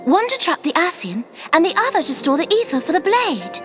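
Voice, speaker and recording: Timid girlish helper, extremely high pitch, bouncy intonation, eager, tentative, fantasy game cutscene. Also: very animated, a little fast, over music. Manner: hesitant, tentative suggesting.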